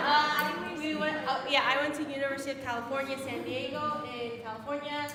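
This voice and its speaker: A young American woman whose first language is Korean gives a casual, chatty presentation to students, with plenty of fillers and restarts. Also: high-pitched. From United States. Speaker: guest speaker. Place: large school hall. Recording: echoey hall with audience noise.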